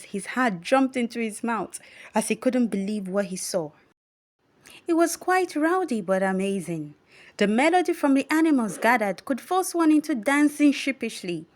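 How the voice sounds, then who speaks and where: High-pitched woman reading a children's story. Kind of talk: scripted children's bedtime story narration.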